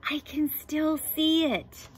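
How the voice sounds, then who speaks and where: A woman's voice: high voice, takes it slowly, a little hiss or hum, voice pushed up. A woman voicing a bossy, unimpressed male lion who teaches lessons, with curt, dry lines. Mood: impatient.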